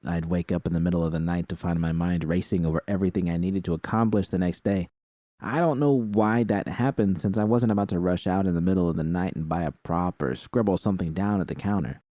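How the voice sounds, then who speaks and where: A man's voice: low-pitched, fast talker, dark tone, no echo. A man reading scripted first-person fiction as an audiobook narrator.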